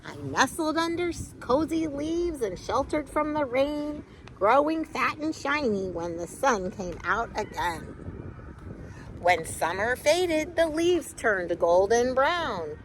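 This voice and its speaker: A woman reading a picture book aloud to young children in an expressive, chatty way with casual asides and exclamations. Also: high-pitched, slow, a little hiss or hum, poor audio.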